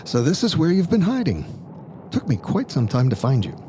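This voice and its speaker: A man's voice: a little high, wildly swooping pitch, moderate pace, dark tone, slight background noise. A man playing a smug, egotistical stage magician in an audio drama, taunting and persuasive in a theatrical way. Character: smug, egotistical, coaxing male magician, former stage star.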